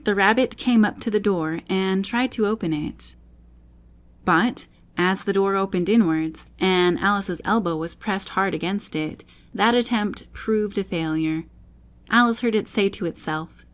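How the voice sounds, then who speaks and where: A woman narrating a children's classic as an audiobook reader, with expressive storybook delivery. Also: mid-range voice.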